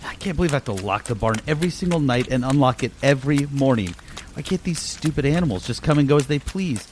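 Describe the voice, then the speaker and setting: A man's voice: mid-range voice, expressive, quick pace, darkish tone, a little hiss or hum, lightly acted. A man playing a put-upon, complaining farmer in an amateur comic radio play. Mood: grumbling. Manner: complaining, exasperated.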